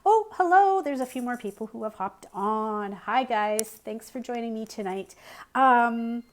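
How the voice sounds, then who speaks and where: A woman's voice: on the high side, expressive, measured pace. Chatty woman live-streaming a tutorial. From Canada. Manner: chatty and friendly, frequent ums and restarts. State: slightly losing her voice. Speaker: crafting demonstrator hosting a live tutorial.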